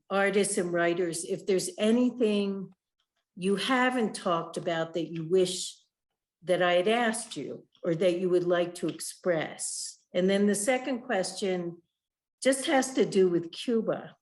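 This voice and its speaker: Slow, low-pitched woman interviewer. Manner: thoughtful, measured, frequent "you know". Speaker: interviewer, writer and curator. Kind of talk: interview questions. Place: home in the Southwest. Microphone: video call.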